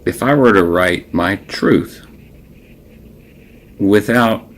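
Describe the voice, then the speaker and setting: A man with a very low voice talks slowly through a personal, unscripted reflection. He is a native Creole French speaker, and he often halts to search for words or trails off. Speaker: personal documentary narrator.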